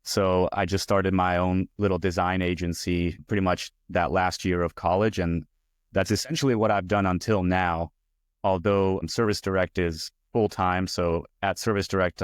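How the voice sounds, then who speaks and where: Low, flat-voiced Hungarian-born man. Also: moderate pace, clean tone, dry recording, studio quality. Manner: relaxed, rambling with many "like" and "so" fillers. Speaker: guest, VP of user experience. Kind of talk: casual interview talk.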